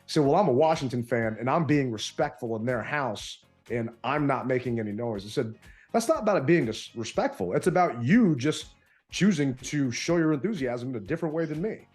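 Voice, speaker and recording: A man with an average-pitched voice who chats casually as a podcast co-host. He tells his stories in an animated way, and his voice sounds a little strained from yelling. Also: a little fast, faint music behind. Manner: animated storytelling. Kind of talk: casual sports chat.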